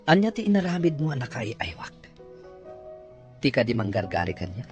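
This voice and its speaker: A man's voice: mid-range voice, very animated, brisk, muffled recording, soft background music, natural voice. A man acting a stern, cold, reproachful husband in a melodrama, speaking firmly with a Filipino accent.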